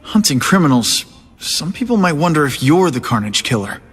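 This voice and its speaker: An actor playing a wry, quick young superhero in a video game cutscene, casual and a little sardonic, with music behind him. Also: male voice, a little high, sing-song.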